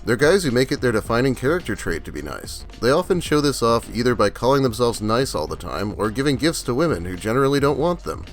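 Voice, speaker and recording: A man's voice: mid-range voice, big pitch swings, quick pace, hardly any pauses, faint music behind. A man hosting a scripted commentary in a sardonic, mocking manner. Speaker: host of a commentary video.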